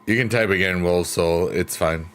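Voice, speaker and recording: A man in his fifties with a deep bass voice, casually chatting with viewers as a livestream host in a relaxed, friendly, unhurried way. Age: 50s. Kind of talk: casual chat reading viewer comments. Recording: clear piano music behind. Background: chill piano music.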